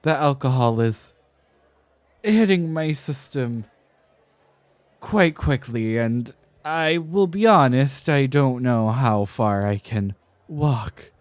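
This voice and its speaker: Man acting as flustered young male scholar, tipsy, affectionately defensive, theatrical diction, slow halting delivery, ASMR roleplay, dry close recording. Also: mid-range voice, animated, no room sound. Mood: tipsy, sheepish. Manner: theatrical, enunciated, halting with sighs and flourishes. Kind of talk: ASMR roleplay, acted one-sided conversation.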